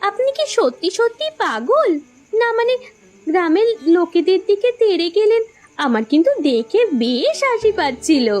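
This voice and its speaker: A woman voicing a helpless, timid young widowed mother in a village cartoon, pleading softly and earnestly. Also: very high voice, very animated, normal speed. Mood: shy curiosity. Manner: tearful, timid, beseeching. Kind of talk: cartoon voice acting.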